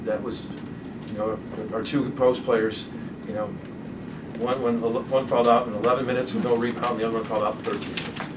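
A middle-aged man coaching basketball, answering postgame press questions in a halting way, repeating words and restarting often. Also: medium pitch, level tone. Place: press conference room. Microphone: desk microphone from a distance. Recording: distant mic, clear background noise.

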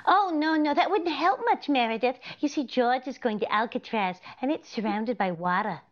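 A woman's voice: sits high, normal speed, close to an everyday voice. A woman acting a scatterbrained, sweetly earnest wife in 1940s-style radio comedy. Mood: innocent, fluttery. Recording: slightly dull video-call audio.

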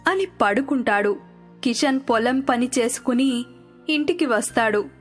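Woman, high voice, scripted story narration, storyteller, background music. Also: measured pace. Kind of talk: scripted narration of a moral tale. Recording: music under the voice.

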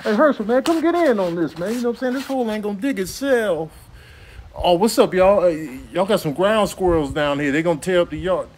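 A man's voice: high-pitched, animated, fairly quick, a little mellow, a little hiss or hum. A man voicing irritable, scrappy house pets in a comic animal voiceover, slangy and exasperated. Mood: scheming, excited.

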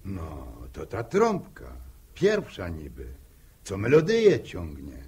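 An easygoing older farmer in a 1960s radio drama. He talks slowly in rustic phrases, his husky voice rising and falling freely. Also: low voice, huge pitch swings, a little mellow, heavily acted. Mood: relaxed, folksy. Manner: unhurried, rustic folksy phrasing. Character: easygoing older village farmer, amateur bandsman and father. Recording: old 1960 radio drama recording.

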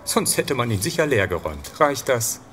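An actor playing a defensive, irritable shopkeeper, snappy and indignant, in a horror radio play. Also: male voice, mid-range voice, sing-song, moderate pace, a bit bright, slight background noise. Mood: defensive, annoyed. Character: defensive, irritable male shopkeeper suspected of crimes. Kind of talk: German horror audio drama acting.

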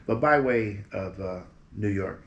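A man in his fifties from Berkeley, California, a musician guest telling stories from his career in a relaxed, animated way, seated in front of a green screen. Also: lowish voice, a little slow, dull audio. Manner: relaxed, animated storyteller with lively quoted voices. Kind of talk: casual storytelling interview. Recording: roomy echo with faint background hiss.

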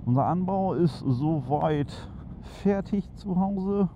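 A man's voice: high voice, expressive, takes it slowly. A man chatting casually and enthusiastically into his helmet headset while riding a motorcycle through town, with lots of fillers. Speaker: motovlog host. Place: on a motorcycle in city traffic outdoors. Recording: muffled helmet mic with loud wind and traffic noise. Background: engine, wind and traffic.

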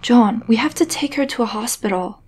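A woman's voice: on the high side, lively intonation, moderate pace, darkish tone, slight background noise, natural voice. A young woman acting a worried, practical, easily exasperated character in a comic dub. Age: young adult.

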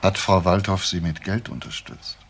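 An actor playing a calm, probing investigator with a gravelly, husky voice in a crime radio drama, formally questioning. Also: male voice, low voice, moderate pace. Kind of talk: naturalistic German crime radio drama acting.

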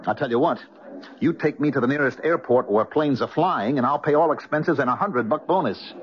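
An actor playing a desperate, cold-blooded killer on the run in a 1970s radio drama, speaking in a brooding, dramatic way. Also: male voice, mid-range voice, brisk, a little hiss or hum, natural voice. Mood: anxious, urgent. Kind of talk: 1970s radio drama acting, narrating and in scene. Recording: dull restored radio broadcast with music.

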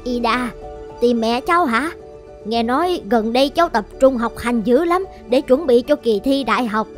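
A woman as a high-voiced, kindly older auntie. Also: expressive, normal speed, soft background music, close to an everyday voice. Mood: concerned. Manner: soothing, concerned. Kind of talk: animated fairy-tale dubbing.

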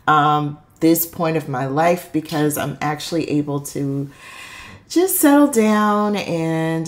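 A woman's voice: low voice, fairly bright tone. A middle-aged woman giving a casual, chatty vlog voice-over, candid and friendly as she talks straight to listeners. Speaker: vlogger.

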